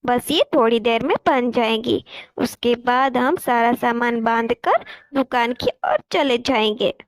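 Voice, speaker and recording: A woman's voice: a little high, a little slow, no room sound. A woman voicing an earnest, motherly little bird in a cartoon. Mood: content. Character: hardworking, gentle mother sparrow, worried and earnest.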